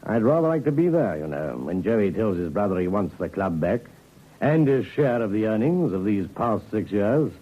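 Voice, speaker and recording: Wry, composed British inspector, vintage radio crime drama; slightly husky, on a dull old recording. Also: male voice, fairly low pitch, big pitch swings, moderate pace. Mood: businesslike, wry.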